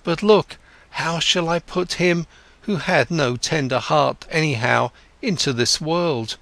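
A man's voice: on the high side, takes it slowly, vocal fry, a bit bright, no echo, voice pushed up, exaggerated voice. A man voicing a troubled middle-aged father asking anxious, rising questions. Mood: worried.

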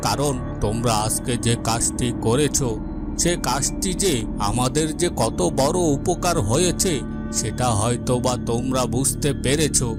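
Man as commanding fairytale villain, mid-pitched, self-satisfied, theatrical, heavy music underneath. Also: close to an everyday voice. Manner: pompous, theatrical. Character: gloating, commanding male evil overlord. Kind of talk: dramatic fairytale cartoon dubbing. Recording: loud dramatic music behind the voice.

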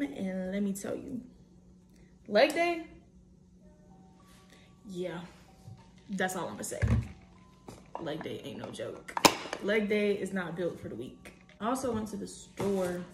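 A young woman in her twenties chatting casually to camera in a home kitchen, slangy and animated as she cooks. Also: medium pitch, echoey room. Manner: chatty, slangy, animated, talks while cooking. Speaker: vlogger. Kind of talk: casual vlog chat to camera. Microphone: camera on a tripod at a distance.

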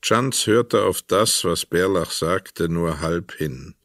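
A man narrating a radio drama from a script in a measured, literary storytelling manner. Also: sits low, fairly bright tone, dry recording, clean studio sound.

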